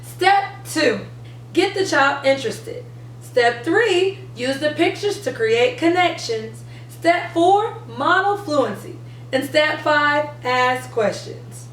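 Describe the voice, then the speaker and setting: A woman's voice: high voice, expressive, slow pace, fairly bright tone. A young woman presenting a how-to lesson as a reading teacher, explaining step by step and stressing key words. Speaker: reading teacher and channel host. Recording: roomy, distant mic, faint noise.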